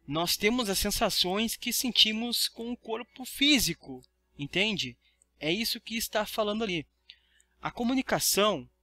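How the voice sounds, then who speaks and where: A man's voice: sits high, bright, forceful tone, dry recording. A Brazilian man teaching a study lesson in a didactic way, reading aloud and explaining. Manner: didactic, checks understanding with tag questions.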